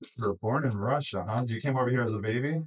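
A male police officer in a station booking room, calmly coaching someone through a breath test and reassuring them, picked up by a ceiling security camera. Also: mid-range voice, quick pace, husky, muffled recording. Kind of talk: procedural instructions.

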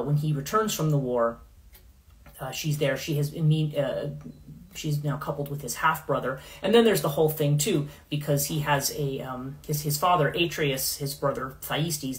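A middle-aged woman hosting a casual livestream in her living room, rambling with many fillers and restarts, her voice going hoarse. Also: low voice, normal speed. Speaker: podcast host. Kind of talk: casual livestream talk on myth.

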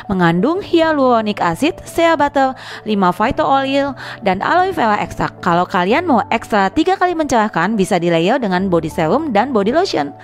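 A woman's voice: a little high, sing-song, normal speed. A woman doing a casual, chatty vlog voice-over, addressing viewers as friends. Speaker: vlogger and narrator.

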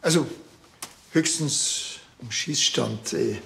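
A man's voice: mid-range voice, slow, gravelly, a little hiss or hum, exaggerated voice. An actor with a Bavarian accent playing a dry, sly village policeman in a laconic radio play style. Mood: sly, evasive. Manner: deadpan, laconic.

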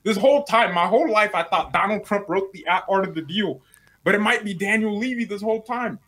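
A man's voice: high-pitched, fairly quick, fairly bright tone. A young man in his twenties, a guest rival fan, bantering playfully and teasing on a video call from a small room at home. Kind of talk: casual banter.

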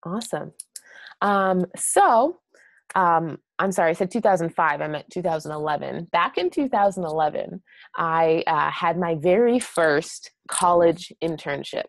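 Low-pitched American woman with some creak. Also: animated, a little slow, no echo. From New Hampshire, United States. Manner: chatty, encouraging. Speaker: guest presenter, blog strategist. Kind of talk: casual webinar presentation.

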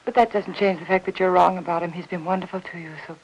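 Anxious, gentle housewife, old-film sound. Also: female voice, on the low side, fairly even intonation, fast. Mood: pleading. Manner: gentle, apologetic, hesitant. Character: anxious, polite, dutiful young housewife. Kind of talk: 1950s film melodrama acting. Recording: dull, slightly noisy old film soundtrack.

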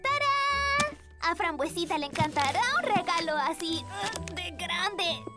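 A woman's voice: very high voice, lively intonation, slow pace, exaggerated voice. A woman voicing a sweet, earnest little girl in a dubbed children's cartoon. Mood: eager. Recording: loud children's music behind the voice.

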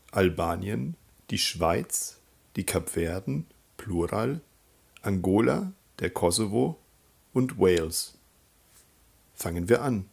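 A man teaching a German lesson, calmly naming countries and repeating example sentences for learners to follow. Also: lowish voice, creaky, breathy edge. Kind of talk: slow, clear teaching with drill repetitions.

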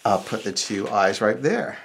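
A man's voice: lowish voice, big pitch swings, unhurried, creaky. A middle-aged American man giving a casual step-by-step drawing lesson, thinking aloud informally as he works. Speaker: cartoonist, drawing instructor.

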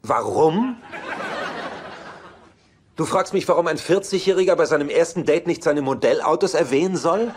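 An actor playing a dry, sarcastic, exasperated bachelor brother in a German-dubbed sitcom. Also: male voice, a little high, expressive, normal speed, pauses often, natural voice. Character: sardonic, exasperated bachelor brother who writes jingles. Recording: noisy TV soundtrack rip.

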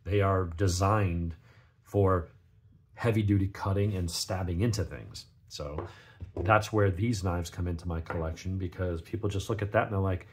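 Man, deep pitch, raspy and gravelly, sniffly cold, rambling conversational delivery, casual product review. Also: steady tone, normal speed. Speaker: knife reviewer, channel host.

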